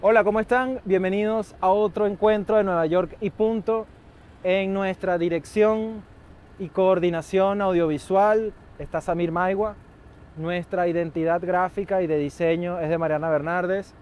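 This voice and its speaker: A man's voice: high-pitched, fairly even intonation, darkish tone, clean tone, highs cut off. A man hosting an interview outdoors on a rooftop, friendly and talkative. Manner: friendly, talkative, quick to joke. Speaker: host. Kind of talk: casual interview.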